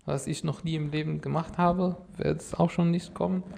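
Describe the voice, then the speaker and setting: A man's voice: a little high, fairly even intonation, normal speed, gravelly, darkish tone. A man from Syria whose first language is Arabic, telling his story as a podcast guest in a rambling way, full of fillers and restarts. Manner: rambling, many fillers and restarts, "sag ich mal" habit. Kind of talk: casual conversational storytelling.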